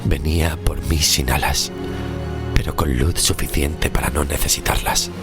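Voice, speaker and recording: An actor playing a weary, tormented sixteenth-century shipwrecked sailor, narrating a horror audio drama in a grave, slow, literary way with a gravelly, very deep voice. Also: male voice, steady tone. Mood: awed. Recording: music under the voice.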